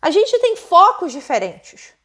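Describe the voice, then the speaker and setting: A Brazilian Portuguese woman teaching English test prep at home into her own microphone, lively and full of rhetorical questions. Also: extremely high pitch, unhurried. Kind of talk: casual teaching monologue to camera.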